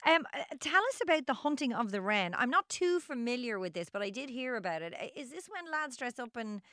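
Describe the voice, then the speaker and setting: An Irish woman hosts the chat and asks curious questions, sometimes stumbling over an "uh" or "um". Her voice is fairly high, and her pitch rises and falls a lot. The recording is close and dry. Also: sing-song, fairly quick, no echo. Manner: curious, warm-hearted questions with hesitant fillers. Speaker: interview host. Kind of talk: casual interview.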